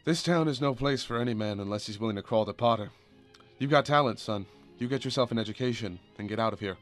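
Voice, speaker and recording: Guy doing kindly weary small-town father, radio drama, quick talker, medium pitch. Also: faint music behind, close to an everyday voice. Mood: sincere. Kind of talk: old-time radio drama acting.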